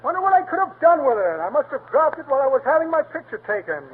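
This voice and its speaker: A man's voice: extremely high pitch, sing-song, very fast. An actor playing a sly pickpocket gloating over his loot with one pun after another. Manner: pun-laden, gloating patter. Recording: tinny 1930s radio broadcast, faint hiss.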